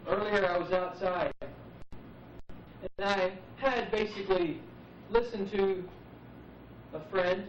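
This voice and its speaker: A man's voice: sits high, steady tone, slow, pauses often, a little hiss or hum. Man musing, distant echoey muffled recording. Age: 40s. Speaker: poet speaking at an open mic. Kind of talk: live spoken-word musing. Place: live open mic venue, roomy.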